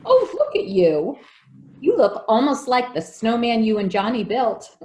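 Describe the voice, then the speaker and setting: A woman's voice: a little high, very animated, measured pace, a little mellow. A woman playing a caring, motherly but firm nanny in an old-time radio play. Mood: tender. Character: caring, protective nanny to a young girl. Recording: echoey room with noise and clicks.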